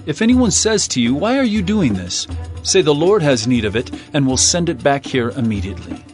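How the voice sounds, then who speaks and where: An actor playing Jesus as a calm, authoritative teacher in a dramatized scripture reading, measured and solemn. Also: male voice, a little high, faint music behind.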